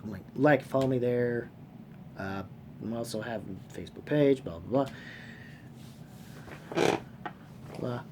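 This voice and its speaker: A man hosting a casual fan vlog, talking off the cuff in a halting, rambling way, repeating phrases and swearing casually. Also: medium pitch. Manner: casually profane. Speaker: host of a movie trivia fan channel. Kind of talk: casual unscripted vlog commentary. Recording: clearly noisy room sound.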